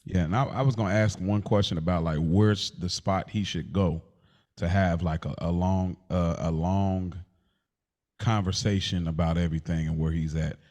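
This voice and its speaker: A man's voice: low voice, fairly even intonation, moderate pace, darkish tone. A man co-hosting a podcast in casual conversation, slangy and roundabout as he thinks aloud. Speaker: podcast co-host.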